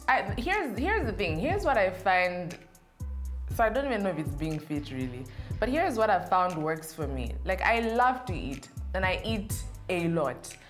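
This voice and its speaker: Chatty woman with a low voice. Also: a bit bright. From Zambia. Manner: chatty and playful, reads questions aloud then answers. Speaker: interview guest. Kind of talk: casual Q&A interview. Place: indoor studio set.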